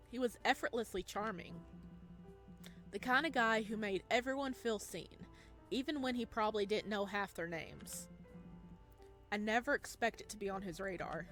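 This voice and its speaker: A weary, anxious young man read by a woman narrates a visual novel over background music. He is introspective and hesitant, full of self-doubt, in a mid-pitched female voice. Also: a bit bright. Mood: wistful.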